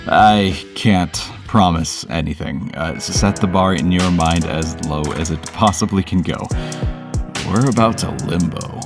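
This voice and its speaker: A man's voice: deep voice, a little slow, music in the background. A man hosting a do-it-yourself video with casual, wry, self-deprecating voice-over narration.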